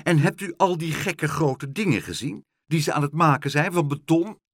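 A man voicing a fussy, indignant woman in a children's storybook, snappish and shrill as she nags and complains. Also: wildly swooping pitch, moderate pace, dry recording, studio quality, full character voice. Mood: outraged. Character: fussy, indignant, scolding woman, easily outraged.

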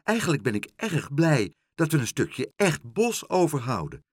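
A man voicing a mild, dreamy mayor in a children's storybook, musing and gently pleased. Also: a little high, sing-song, moderate pace, no echo, studio quality, voice pushed up. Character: mild, dreamy, delighted mayor who loves art.

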